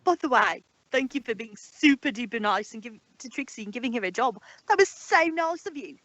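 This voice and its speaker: A woman's voice: a little high, expressive, brisk, fairly bright tone, no room sound, voice pushed up, poor audio. A woman voicing a hyperactive, friendly young female pony with a bubbly, gushing manner in a story reading.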